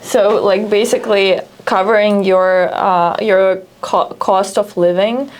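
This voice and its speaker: A woman's voice: medium pitch, steady tone, slow. A woman hosting a startup interview, asking curious, direct questions and pushing for concrete numbers. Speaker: interview host.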